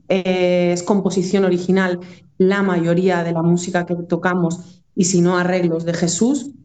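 Mellow northern Spanish woman, talking discursively. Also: medium pitch. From Aragón, Spain. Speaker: musician and singer being interviewed. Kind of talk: casual reflective interview talk.

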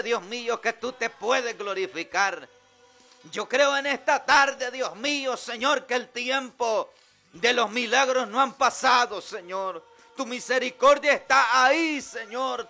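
A man leading a fervent prayer for healing, repeating short invocations between phrases and building up to shouted declarations. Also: high-pitched, vocal fry.

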